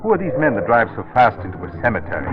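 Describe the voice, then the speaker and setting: An actor playing a formal, solemn reverend in declamatory old radio drama style. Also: male voice, mid-range voice, big pitch swings, very fast, natural voice. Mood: solemn, startled. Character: solemn, startled male reverend. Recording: tinny, noisy old radio recording.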